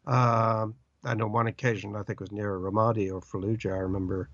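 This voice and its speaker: A British journalist in an interview, an older man who speaks haltingly and searches for words, with many uh's. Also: fairly low pitch, level tone, no room sound. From Britain. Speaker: journalist guest. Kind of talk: interview over the phone. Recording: dull lo-fi line, dry.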